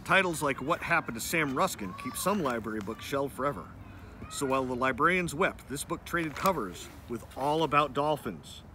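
A man's voice: medium pitch, expressive, normal speed, bright, forceful tone, a little hiss or hum. A middle-aged man reading a children's storybook aloud in a dramatic, mock-ominous way. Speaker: nature educator reading aloud.

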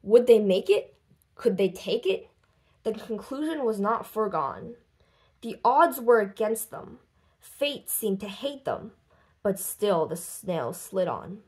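A woman reading a children's book aloud expressively, with deliberate, dramatic phrasing and lifted voices for dialogue. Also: medium pitch, big pitch swings, darkish tone. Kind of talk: expressive storybook read-aloud.

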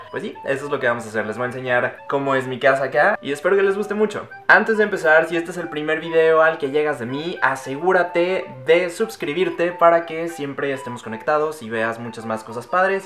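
A man's voice: a little high, fairly bright tone. A young man in his twenties with a Mexican accent, chatting casually and giggling as he gives a house tour, holding the camera at arm's length. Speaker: vlogger. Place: mobile home, indoors and outdoors. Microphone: handheld camera at arm's length.

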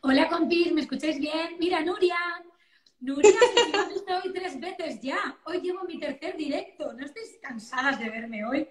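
A woman's voice: sits high, normal speed. A woman in her thirties with an accent from Spain, chatting casually and exclaiming often as an interview guest on a phone video call from home. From Valencia, Spain. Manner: animated, exclamatory. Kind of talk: casual chat. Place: living-room sofa at home, indoors. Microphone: phone at arm's length, video call. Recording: roomy echo over phone live stream.

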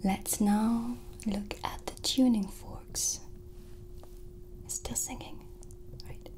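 A middle-aged woman hosting an ASMR roleplay, explaining softly and soothingly in a gentle whisper, close to the microphone. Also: a little high, steady tone, slow pace. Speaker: ASMR host presenting a sound healing roleplay. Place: small dim indoor set.

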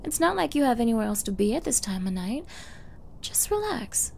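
A woman's voice: fairly high pitch, very animated, fairly quick, darkish tone. A woman playing a flustered, clingy young yandere in an ASMR girlfriend roleplay, with a coy, stammering manner and feigned ditzy innocence. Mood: anxious, pleading. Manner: stammering, coy, eager, breathy asides. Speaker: roleplay voice performer. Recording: faint background noise.

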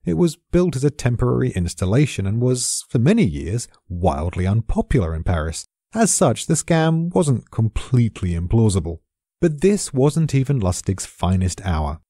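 A man with a British accent narrates in a low, mellow voice that swings expressively in pitch. He sounds like a wry, well-read storyteller explaining from a script. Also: husky, no room sound, clean studio sound. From Britain. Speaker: expert narrator.

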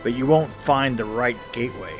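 An actor playing an earnest, cryptic, eccentric inmate in an audio drama, solemn and insistent. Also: male voice, medium pitch, moderate pace, soft background music, natural voice.

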